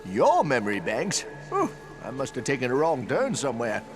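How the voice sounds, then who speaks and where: An actor playing an eccentric, cheerful old plumber in a video game, rambling along in folksy colloquial talk. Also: male voice, mid-range voice, huge pitch swings, moderate pace, music in the background. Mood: cheerful, puzzled. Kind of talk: video game cutscene acting.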